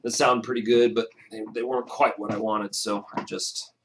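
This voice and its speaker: A man with a raspy voice casually walking through his song mix, talking in halting bursts with lots of fillers and restarts. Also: on the low side, flat delivery, fairly bright tone, slight background noise. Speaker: music producer. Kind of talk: casual screen-recorded mixing walkthrough.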